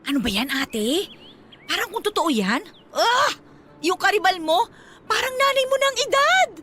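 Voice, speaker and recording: A woman playing an excitable, gossipy younger sister in a radio drama, blunt and teasing with dramatic exclamations. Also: extremely high pitch, unhurried, background noise, exaggerated voice. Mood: scandalized, curious.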